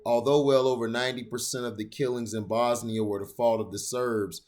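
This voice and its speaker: A man of ordinary pitch reads a long written essay aloud for his channel. His delivery is steady and deliberate. He trips on a longer word now and then and goes back to say it again. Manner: steady, deliberate reading with occasional stumbles on long words. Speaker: channel host reading an opinion essay aloud.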